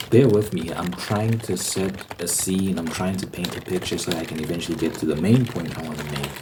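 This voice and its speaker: A man's voice: deep voice, noisy background. A man hosting an unscripted ASMR ramble, explaining his opinions and repeating points for emphasis. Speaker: host of a rambling ASMR channel.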